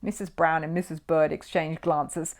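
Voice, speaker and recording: A woman storyteller narrating a children's book in an expressive audiobook style. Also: low voice, normal speed.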